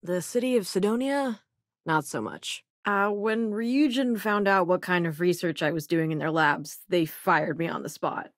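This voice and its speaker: A woman's voice: on the low side, moderate pace, no echo, studio quality, natural voice. An actor, a young woman, voicing a clever, wry and self-assured renegade scientist who crews a spaceship in a video game. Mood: matter-of-fact.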